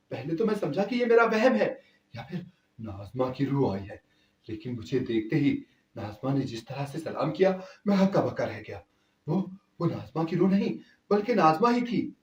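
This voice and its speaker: A man's voice: mid-range voice, muffled recording. A man narrating a scripted first-person story in an emotive, theatrical storytelling manner. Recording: echoey, distant-sounding voice.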